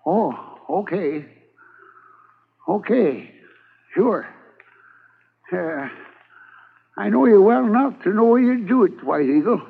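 An actor playing a nervous frontier trader with a raspy, gravelly voice in a 1950s radio drama. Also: male voice, sits high, animated, slow, pauses often, exaggerated voice. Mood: jittery. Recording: thin phone-like old radio transcription.

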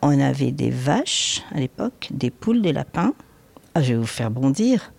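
A woman's voice: rumbling low, very animated, moderate pace, vocal fry, darkish tone. Plain-spoken woman in her sixties. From southern France. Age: 60s. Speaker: restaurant chef interviewed. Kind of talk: casual spoken recollection.

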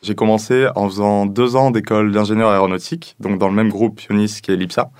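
A man's voice: fairly low pitch, steady tone, a little fast, a little mellow, smooth, dry recording, studio quality. A man, talking informally about himself in an interview. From southern France. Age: 20s. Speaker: business school student interviewee.

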